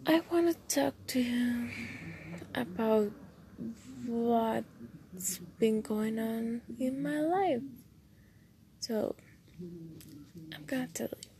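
Slow, soft-spoken young woman. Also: fairly high pitch, lively intonation, a little hiss or hum, low-quality recording. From Costa Rica. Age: late teens. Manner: soft, often half-whispered, hesitant with many fillers. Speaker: podcast host. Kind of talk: casual personal monologue.

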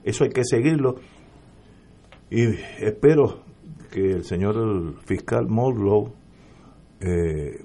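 A middle-aged Puerto Rican man on a political radio panel, speaking emphatically and building to forceful points. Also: on the low side, breathy edge. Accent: Puerto Rican Spanish.

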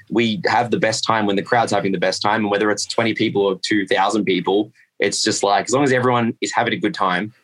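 Young male musician, fairly low register, eager casual interview talk. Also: fairly bright tone. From Australia. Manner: enthusiastic, repeats words when starting sentences. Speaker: metal band musician and producer.

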